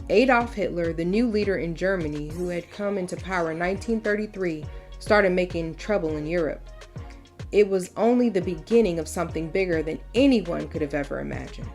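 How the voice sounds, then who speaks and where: Low-pitched American woman reading a story aloud. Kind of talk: expressive children's read-aloud narration.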